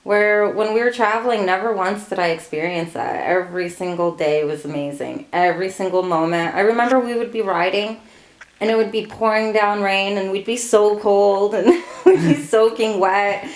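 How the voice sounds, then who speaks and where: A woman's voice: mid-range voice, moderate pace, echoey room. A woman in her late thirties, born in Portugal, answering family interview questions with frequent laughter. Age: late 30s. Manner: laughs often, relaxed storytelling.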